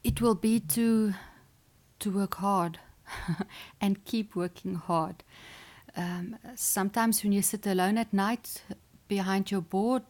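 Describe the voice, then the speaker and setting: A woman speaks at an ordinary mid pitch. Her is Afrikaans. She answers questions earnestly and encouragingly, with small restarts along the way. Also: fairly even intonation, takes it slowly, pauses often, a little mellow. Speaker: chess player and sports psychologist. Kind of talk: interview answers.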